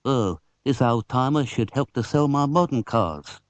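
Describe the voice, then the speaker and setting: A man's voice: medium pitch, lively intonation, unhurried, no room sound, close to an everyday voice. Man acting an offhand British car dealer.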